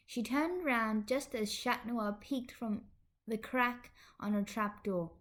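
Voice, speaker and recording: A woman's voice: fairly high pitch, slow, fairly bright tone. A woman narrating fan fiction expressively as a podfic reader.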